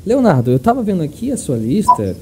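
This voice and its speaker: A man's voice: a little high, sing-song, brisk. A man with a Brazilian accent co-hosting a podcast, chatting casually and giving emphatic opinions. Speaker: podcast co-host. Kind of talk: casual chat. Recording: clear background noise, room ambience.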